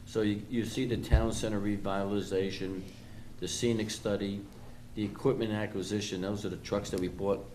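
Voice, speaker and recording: A man explaining a financial report item by item at a public meeting, in a halting way, as a town finance official. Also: fairly low pitch, little pitch movement, darkish tone. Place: town board meeting room. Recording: noisy public meeting room recording.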